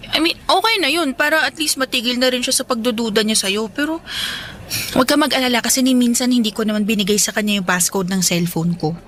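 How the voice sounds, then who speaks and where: A woman's voice: on the high side, fast, fairly bright tone, lightly acted. A woman playing a torn, pleading young woman in a radio drama, with a Filipino accent and a coaxing manner. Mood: hesitant, coaxing. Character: torn, pleading young woman, best friend in love elsewhere.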